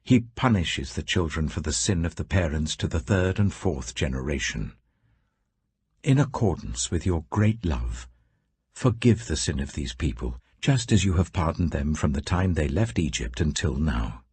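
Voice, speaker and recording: A man's voice: very deep voice, very animated, moderate pace, a little mellow, husky, dry recording, heavily acted. British guy doing an earnest israelite leader, urgent, pleading, voice swinging up and down, scripture audiobook. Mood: impassioned pleading. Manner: urgent, impassioned.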